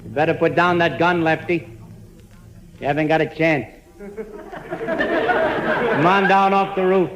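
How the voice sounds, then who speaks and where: A man's voice: high voice, expressive, takes it slowly, pauses often, a loud crowd, heavy background noise. An actor playing an earnest, noble policeman, solemn and melodramatic, in a 1940s radio crime parody. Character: earnest, noble policeman facing down his criminal brother. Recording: old 1940s radio broadcast recording.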